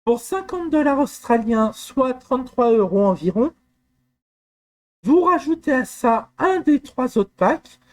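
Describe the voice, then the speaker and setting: A man from France talking through a software walkthrough, hesitant with frequent fillers and restarts. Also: extremely high pitch, expressive, measured pace. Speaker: software tutorial presenter. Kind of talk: screen-recorded walkthrough.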